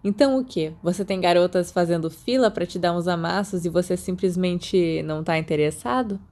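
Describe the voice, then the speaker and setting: A competitive teenage schoolboy, played by a woman narrator in an audiobook story. He is teasing and easily riled, throwing out challenging, drawn-out jibes in a mellow voice. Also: on the low side, close to an everyday voice.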